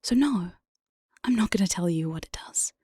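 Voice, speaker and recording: A woman playing an evil, playful demon jailer in an ASMR roleplay, breathy and often whispering, with a sly, mock-sweet menace, close on the microphone. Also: medium pitch, animated, normal speed, warm tone, no echo. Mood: sly persuasion. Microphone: close binaural studio microphone, dry.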